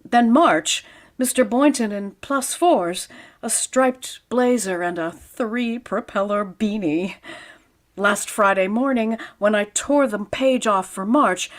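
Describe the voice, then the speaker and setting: A woman playing a wry, lovelorn high school English teacher, narrating dryly in vintage radio comedy style. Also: lightly acted. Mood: wistful, wry. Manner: dry, deliberate comic delivery.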